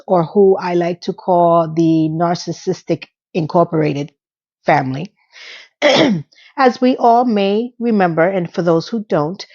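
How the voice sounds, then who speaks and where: A woman's voice: mid-range voice, a little mellow, lo-fi sound. A woman in her fifties giving casual, gossipy commentary with plenty of asides and filler. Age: 50s. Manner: chatty, gossipy, with asides and little sung quips. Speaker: YouTube commentator.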